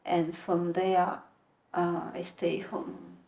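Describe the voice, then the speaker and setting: A woman in her twenties from Kenya, speaking English as a second language, telling her personal story as a podcast guest in a soft, halting voice. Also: fairly low pitch, completely flat delivery. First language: a language other than English. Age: 20s. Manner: soft-spoken, halting, plain wording. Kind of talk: personal testimony in conversation.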